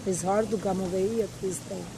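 A woman's voice: medium pitch, fairly even intonation, brisk, noisy background. A middle-aged woman with a Cuban accent, a native Spanish speaker, chatting in an outgoing way in broken English. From Cuba. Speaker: interviewee. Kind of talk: casual chat.

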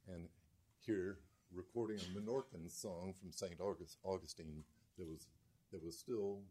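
A man lecturing on archive folk recordings, giving measured, scholarly commentary between played clips and pausing often to restart his thought. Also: sits low, steady tone, mellow, slight background noise.

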